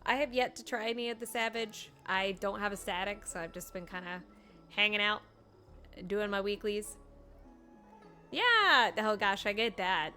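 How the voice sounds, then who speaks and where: A woman's voice: medium pitch, expressive, moderate pace, sharp tone. A woman chatting casually and informally as she streams a game. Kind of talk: casual livestream chat. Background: game music.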